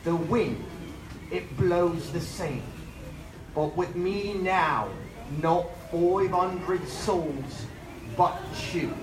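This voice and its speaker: An actor playing a doomed, menacing ghost of a sea captain in live old-time radio horror style, speaking portentously with dramatic pauses. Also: male voice, high voice, background noise. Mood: grim, haunted. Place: on stage in a theater. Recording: echoey live theater, loud music behind.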